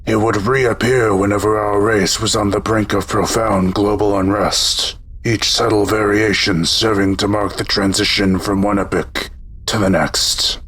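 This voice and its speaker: A man playing a pompous, scholarly old robot philosopher in a lecturing manner, with a husky voice. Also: fairly low pitch, fairly even intonation, a little slow, fairly bright tone, slight background noise, low-quality recording. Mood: lofty, lecturing.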